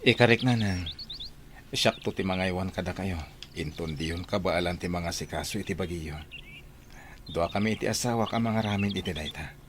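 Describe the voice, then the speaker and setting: A man's voice: low-pitched, normal speed, background noise, natural voice. A man with an Ilocano accent playing an earnest, devoted young man in a radio drama. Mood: tender, grateful. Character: earnest young man, devoted son in love with his friend. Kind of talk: Filipino radio drama acting.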